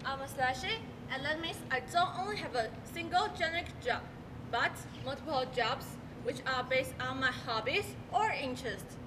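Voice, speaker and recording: A woman's voice: high voice, lively intonation, moderate pace. A young woman performing in a student stage skit, reciting her lines earnestly. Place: large school auditorium stage. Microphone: far stage microphones. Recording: distant, noisy hall recording.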